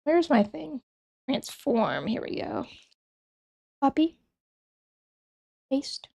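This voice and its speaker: A woman's voice: high-pitched, big pitch swings, drawn-out words, vocal fry, mellow. A young woman streamer who is sick and sniffling, chatting casually with her chat and thinking aloud as she works.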